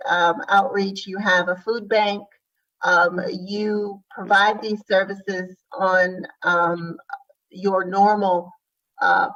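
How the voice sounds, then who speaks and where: Slow-spoken woman, muffled and distant. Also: mid-range voice, a bit bright. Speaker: city grants official answering questions. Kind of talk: explaining at a public hearing. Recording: muffled audio, distant microphone.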